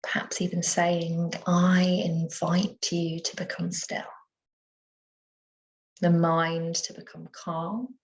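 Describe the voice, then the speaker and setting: A woman with a slightly low voice leads a guided relaxation as a yoga nidra teacher. She speaks soothingly and takes her time, leaving gentle pauses between phrases. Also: level tone, muffled recording.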